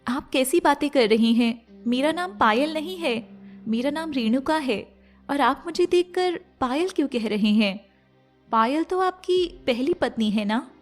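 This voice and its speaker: A woman voicing an emotional young stepmother and wife in a melodramatic animated moral story, speaking earnestly. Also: high voice, a little slow, soft background music. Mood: puzzled.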